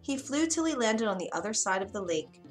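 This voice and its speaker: A woman narrates a children's bedtime fairy tale with medium pitch. Her delivery is expressive and deliberate, and music plays behind her. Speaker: storyteller.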